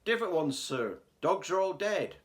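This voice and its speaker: A man's voice: high voice, very animated, normal speed. A man playing an obedient, deferential sailor with clipped replies in a radio drama. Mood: urgent. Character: dutiful, deferential sailor reporting to his captain. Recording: noisy recording with clicks.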